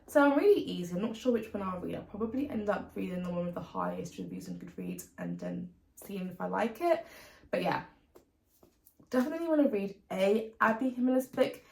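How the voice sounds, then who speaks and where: A woman's voice: mid-range voice, creaky, echoey room, poor audio. A British woman talking casually and chattily as a book vlogger, informal and given to emphatic repetition. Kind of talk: casual chat to camera about reading plans.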